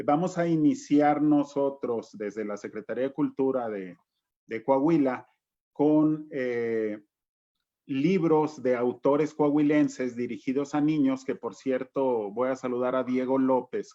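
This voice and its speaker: Male panellist, Mexican accent, mid-pitched, thoughtful storyteller in informal discussion. Dull, low-fidelity recording. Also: measured pace, warm tone. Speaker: cultural director and panellist. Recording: dull lo-fi video-call audio.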